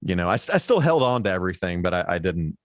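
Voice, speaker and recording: A man with a low baritone voice talks as a guest on a casual podcast. He rambles with plenty of fillers and restarts. His voice sits low, and the recording is dry, with no room sound. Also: big pitch swings, quick pace, no echo. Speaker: guest musician.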